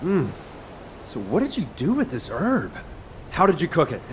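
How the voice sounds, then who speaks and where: A man playing an earnest soldier who loves cooking in a video game, expressive and a little hesitant. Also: fairly high pitch, normal speed, a little hiss or hum, rough recording. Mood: curious.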